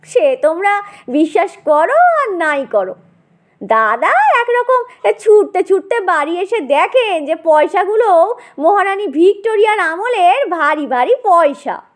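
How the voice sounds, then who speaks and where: Woman voicing an earnest high-voiced storytelling aunt. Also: sing-song, a little slow, exaggerated voice. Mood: earnest, eerie. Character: earnest middle-aged aunt recounting a ghostly encounter.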